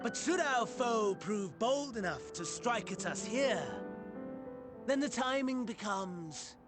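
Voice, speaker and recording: A man's voice: very high-pitched, lively intonation, takes it slowly, over music, heavily acted. A man playing a courteous, mocking villainous schemer with ornate, theatrical delivery in a video game cutscene. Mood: measured, ominous.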